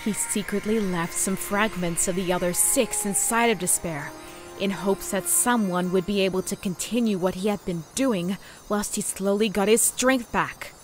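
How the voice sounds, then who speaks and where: A woman voicing a wise divine pony sister who explains things in a measured way, in a fan audio drama. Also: mid-range voice, soft background music, lightly acted. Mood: grave. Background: musical score.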